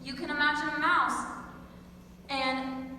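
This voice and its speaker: A woman's voice: high-pitched, fairly even intonation, slow, pushed and bright. A teenage girl reciting a monologue as a student performer. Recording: very echoey hall, distant mic, faint noise.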